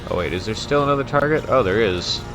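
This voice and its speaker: A man's voice: lowish voice, lively intonation, fast talker. A man giving casual gameplay commentary, reacting and grumbling wryly as he plays. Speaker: gamer recording a playthrough. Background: loud game music and aircraft sounds.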